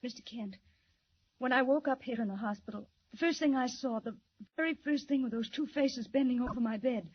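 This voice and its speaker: A woman's voice: a little high, a little fast, breathy edge. An actress playing a frightened but spirited young secretary in a 1940s radio drama, telling her story urgently. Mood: anxious. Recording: dull old radio transcription.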